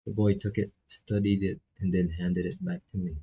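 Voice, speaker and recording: A man's voice: low-pitched, flat delivery, moderate pace, dark tone, slight background noise. A man who is not a native English speaker reads game text aloud as a streamer, with casual asides and stumbles over phrases. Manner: stumbles and repeats phrases while reading. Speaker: streamer playing a visual novel. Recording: dull, lo-fi microphone sound.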